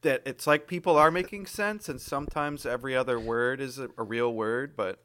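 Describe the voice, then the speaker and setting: A man's voice: medium pitch, fairly quick, sharp tone. A man co-hosting a comedy podcast, chatting casually with wry banter. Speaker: podcast co-host.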